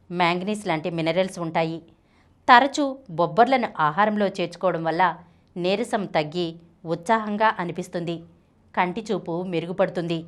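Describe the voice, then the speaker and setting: A woman reading scripted health information as a channel narrator in a measured, clearly read-out way. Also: on the low side. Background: background music.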